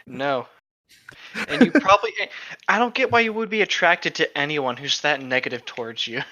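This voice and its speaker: A man's voice: fairly high pitch, lively intonation, moderate pace, pushed and bright, muffled recording. A man chatting casually and jokingly as a co-host, swearing freely. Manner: joking, profane. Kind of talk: casual group chat.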